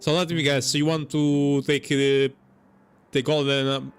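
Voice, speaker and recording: Slow, casual male game streamer. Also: a little high, flat delivery, clean tone. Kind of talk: casual live playthrough commentary.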